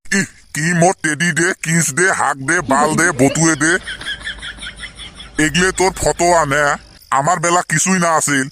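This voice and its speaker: Man acting a grumpy, higher-pitched old cartoon father. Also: steady tone, normal speed, noisy background, lightly acted. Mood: furious, mocking. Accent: northern Bengal (Cooch Behar region). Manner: gruff, scolding, coarse-mouthed. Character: grumpy, stingy, older village father. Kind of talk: comedy cartoon voice acting in rural dialect.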